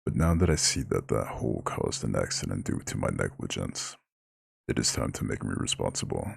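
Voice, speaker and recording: Abyssally deep, gravelly eldritch god. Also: male voice, sing-song, dry recording, studio quality, full character voice. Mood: apologetic, gentle. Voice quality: very deep gravelly husky growl. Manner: slow, soothing, formal speech with proud touches. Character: ancient male eldritch god, horned, imposing, gently caring. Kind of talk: ASMR roleplay voice acting.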